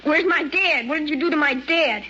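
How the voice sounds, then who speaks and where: A woman's voice: high voice, normal speed, bright, forceful tone. A woman playing a brave but frightened young farm boy in an old-time radio drama, urgent and earnest. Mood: defiant, angry. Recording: dull old radio recording, faint noise.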